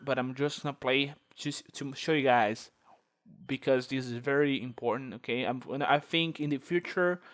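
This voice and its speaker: A man's voice: mid-range voice, a bit bright. A man whose first language is Portuguese, speaking English with a Portuguese accent, hosting a screen-recorded tutorial and thinking aloud with many fillers. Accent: Brazilian Portuguese. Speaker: tech video host.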